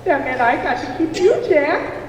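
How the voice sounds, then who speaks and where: A woman storytelling theatrically in a puppet show, with a dramatic, deliberate and expressive delivery. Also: sits high. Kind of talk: theatrical live storytelling, addressing a puppet companion. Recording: very echoey hall, distant mic, faint noise.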